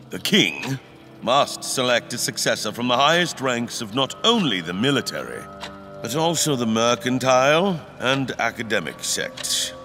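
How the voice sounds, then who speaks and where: A man playing a solemn, grandiose fantasy king in an audio drama, speaking formally and deliberately. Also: medium pitch, sharp tone. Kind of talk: theatrical audio drama acting.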